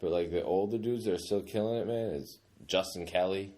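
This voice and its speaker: A man's voice: low voice, a little fast, warm tone. A man in his thirties chatting casually on a podcast, rambling with lots of "dude" and "like". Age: 30s. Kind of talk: casual chat.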